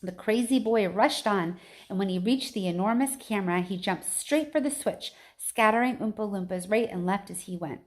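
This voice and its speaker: A woman's voice: medium pitch, normal speed. A woman reading a children's book aloud like a teacher, expressively, with casual chatty asides.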